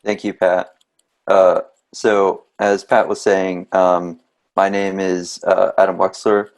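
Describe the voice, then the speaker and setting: Man, 20s, moderator, deep voice, monotone, hesitant. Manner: hesitant, halting with ums and uhs. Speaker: webinar moderator.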